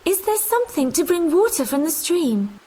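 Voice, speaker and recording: A woman playing a gentle, kind-hearted young fairy-tale heroine in an animated storybook, earnest and expressive, with music behind her. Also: extremely high pitch, moderate pace. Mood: worried. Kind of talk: children's animated storybook acting.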